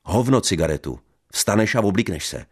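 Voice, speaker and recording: A man voicing an angry, jealous young husband who shouts and scolds coarsely. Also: a bass voice, big pitch swings, slightly rough, heavily acted. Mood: angry scolding.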